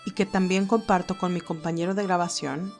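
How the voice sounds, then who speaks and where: A woman's voice: fairly low pitch, steady tone, fairly quick, soft background music. A woman narrating a fan fiction audio story and reading scripted notes to listeners as its host.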